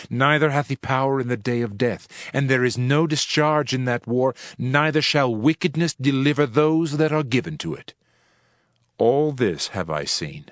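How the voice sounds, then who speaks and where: A man playing a solemn, world-weary preacher in a dramatized scripture reading, with measured, archaic oratory. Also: mid-range voice, no room sound, clean studio sound, natural voice. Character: male preacher, solemn world-weary sage pondering wisdom and vanity. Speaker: narrator of a dramatized audio Bible. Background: music.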